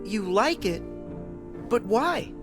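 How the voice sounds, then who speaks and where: A loyal, determined teenage boy in an animated video game. His voice is youthful and high, with slow, earnest, emphatic delivery over music. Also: exaggerated voice. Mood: grateful, earnest.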